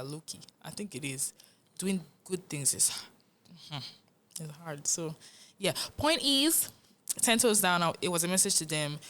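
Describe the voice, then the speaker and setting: A young Nigerian woman, a musician, talking in a casual interview, animated and earnest, often laughing. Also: deep voice. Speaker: musician guest.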